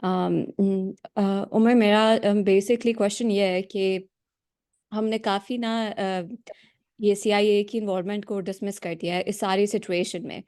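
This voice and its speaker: Fast-talking woman. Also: medium pitch, fairly even intonation, a little mellow, clean tone. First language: Urdu. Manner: quick, mixing in Urdu phrases, with fillers. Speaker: meeting participant asking a question. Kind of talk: conversational question. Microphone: video call.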